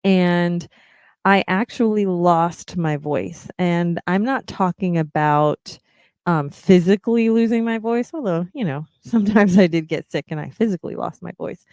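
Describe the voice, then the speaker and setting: Podcast host, female, slow encouraging delivery, smooth voice, dry close mic. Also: lowish voice, darkish tone, dry recording. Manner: earnest, encouraging, many ums. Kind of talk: reflective solo podcast talk.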